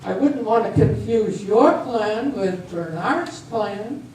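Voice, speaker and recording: A woman's voice: medium pitch, animated, takes it slowly, a little mellow. An amateur actress, a woman playing a nosy, needling small-town reporter in a stage comedy reading, sly and wry. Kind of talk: amateur readers theater comedy acting. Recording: very echoey hall from far off, faint hiss.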